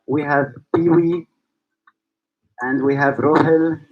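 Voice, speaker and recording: A man's voice: a little high, steady tone, slow, dull audio. A man from Syria, founder and host of a yoga school, speaking to online viewers and asking repeated rhetorical questions. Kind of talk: reflective talk to online viewers.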